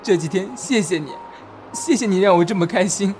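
A young man acting a shy, insecure young man in love in a romantic audio drama, softly and earnestly. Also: sits high, fairly quick, natural voice. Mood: hurt, resigned. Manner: soft, hesitant, earnest. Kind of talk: Chinese audio drama acting with inner narration. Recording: music behind.

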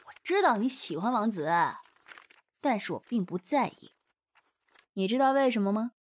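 A woman's voice: a little high, lively intonation, normal speed. A woman playing a brazen, possessive young woman in love, taunting and volatile, in a Chinese audio drama. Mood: smug, taunting. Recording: music behind the voice.

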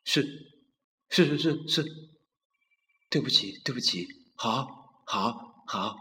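A man's voice: medium pitch, animated, slow pace, a bit bright. A man voicing a nervous, apologetic father in a children's storybook. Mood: flustered. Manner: flustered repeated yeses. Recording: reverberant with background music.

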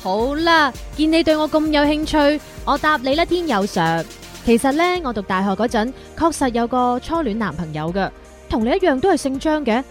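Casting: virtuous young single woman, radio drama, high register, coy and teasing. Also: expressive, moderate pace, soft background music. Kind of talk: Cantonese radio drama acting.

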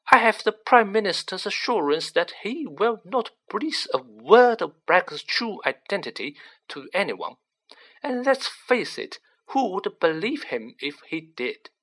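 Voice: medium pitch, bright, forceful tone, voice pushed up, full character voice. A storyteller voicing an irritable older male government minister, pompous, defensive and emphatic, in an audiobook reading.

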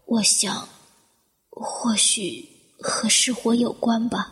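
A woman playing an injured fire survivor in an audio drama, with a dramatic, deliberate delivery. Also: on the high side, steady tone, fairly bright tone, whispered. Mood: subdued, guarded. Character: injured woman fire survivor, anguished then coolly guarded, narrating her ordeal. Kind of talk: Chinese audio drama acting with introspective narration. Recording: echoey hall-like reverb, music underneath.

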